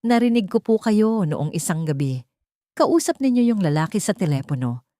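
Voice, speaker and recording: A woman's voice: medium pitch, big pitch swings, normal speed, dark tone, dry recording, studio quality, lightly acted. A woman voicing a frightened, respectful little rich boy pleading for his nanny in an audiobook drama. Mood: firm, accusing.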